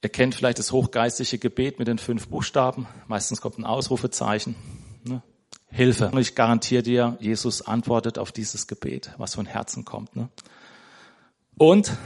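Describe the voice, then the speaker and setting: A man's voice: medium pitch, fairly even intonation, normal speed. A man preaching an informal sermon in a church, talking conversationally, searching for words and ending most sentences with a questioning tag. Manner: conversational, tags nearly every sentence with "ne?". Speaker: lay preacher.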